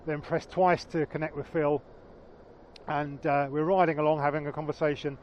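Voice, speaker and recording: A man with a naturally loud voice, chatting casually and haltingly on a vlog, repeating words as he explains. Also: fairly high pitch, flat delivery. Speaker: motorcycle vlogger. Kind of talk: casual chat. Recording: dull lo-fi sound with faint traffic.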